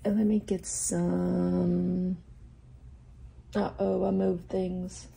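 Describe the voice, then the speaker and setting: A middle-aged woman chats her way through a nail art unboxing, her voice croaky and gravelly, low and mellow in tone. She talks slowly and loosely, wandering between thoughts with plenty of fillers. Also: flat delivery, a little hiss or hum. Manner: rambling, thinking aloud with many fillers. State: croaky from a sore throat. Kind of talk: casual unboxing chat. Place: tabletop crafting desk, camera overhead.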